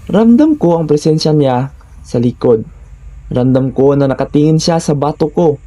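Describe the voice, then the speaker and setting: A man's voice: fairly high pitch, dark tone, lo-fi sound. A man with a Filipino accent giving a scripted first-person narration of horror stories.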